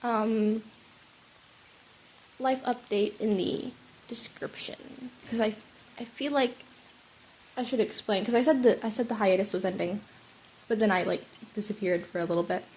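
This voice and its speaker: A woman's voice: mid-range voice, steady tone, measured pace, pauses often, slight background noise, rough recording. A woman with a cold, slightly sniffly, reading a fan fiction story aloud at home with small restarts. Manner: soft, with small restarts and fillers. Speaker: podfic reader.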